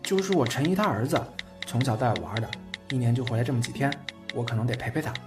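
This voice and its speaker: Young man, played by a male actor, sweet playful boyfriend and grandson, very lively intonation, audio drama. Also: mid-range voice, quick pace, over music, lightly acted. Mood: tender. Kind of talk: Chinese audio drama acting.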